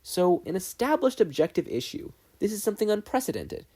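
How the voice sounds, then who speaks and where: A man's voice: high voice, very animated, fairly quick, warm tone, breathy edge, pitched above the performer's own voice, full character voice. A man voicing a passionate, determined teenage boy student, earnest and insistent, in a story reading. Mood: passionate, ranting.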